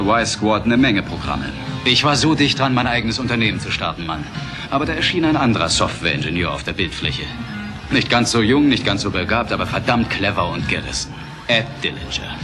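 A brash young software engineer talks with lively swings of pitch. He is cocky, colloquial and sardonic, easily worked up. Music plays clearly behind him, as in a radio drama. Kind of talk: film dialogue in an audio drama.

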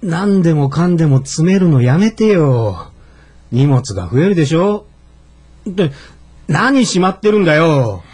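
Flustered, slow-talking man. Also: on the high side, very animated, darkish tone, breathy edge, heavily acted. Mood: annoyed. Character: flustered, grumbling adult male office worker, once class leader. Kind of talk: naturalistic radio drama acting.

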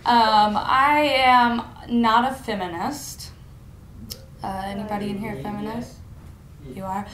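A woman's voice: medium pitch, unhurried, over a noisy crowd. Young woman doing stand-up in an echoey hall. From Indiana, US. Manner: deadpan, self-mocking, laughs at her own jokes. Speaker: stand-up comedian. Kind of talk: stand-up comedy set. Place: college lecture hall stage. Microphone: far camera in the room. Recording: echoey hall, audible room noise.

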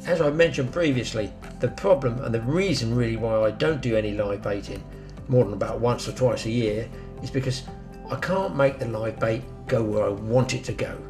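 An older man talks through fishing tips in a practical, chatty way, addressing viewers directly. He is recorded outdoors by a pond on a camera mic, sometimes from a little further off. Music plays clearly underneath. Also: medium pitch, moderate pace. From England. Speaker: fishing show host. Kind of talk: instructional outdoor fishing talk. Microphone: camera mic, some lines from further off.

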